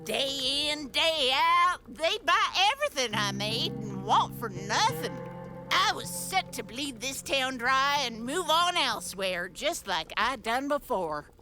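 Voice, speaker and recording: A woman's voice: high-pitched, sing-song, slow pace, full character voice, poor audio. A woman playing a scheming, gloating djinn baker in an audio adventure, with a taunting, theatrical menace. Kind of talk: villain monologue in audio adventure. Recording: music under the voice.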